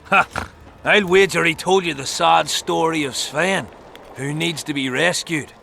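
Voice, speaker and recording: A man's voice: on the high side, measured pace, vocal fry, sharp tone, slight background noise, exaggerated voice. A man playing a proud, stubborn young soldier in a fantasy video game, scornful and sarcastic. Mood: scornful, defiant. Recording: music behind the voice.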